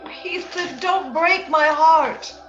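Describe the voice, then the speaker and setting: Actress as fevered young lady, dreamy romantic radio acting, very high voice, echoey dull recording. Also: takes it slowly, a bit bright. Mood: yearning, sorrowful. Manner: dreamy, yearning, theatrical. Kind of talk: old-time radio drama acting. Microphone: recorded remotely over video call. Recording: echoey, dull video-call sound, music underneath.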